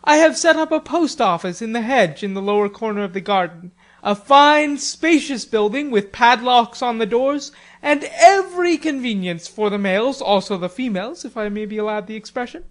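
A woman's voice: on the high side, animated, moderate pace, pitched above the performer's own voice, close to an everyday voice. A woman reading a charming teenage boy in a storybook, mock-humble, with an ornate, engaging manner. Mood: grandly formal.

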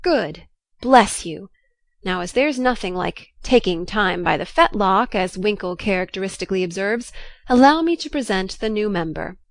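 A woman's voice: medium pitch, lively intonation, normal speed, dry recording, lightly acted. Woman as an earnest, emphatic tomboy girl orator. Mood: excited. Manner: earnest, mock-parliamentary, emphatic. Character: spirited tomboy girl playing a gentleman club orator. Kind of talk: storybook character reading.

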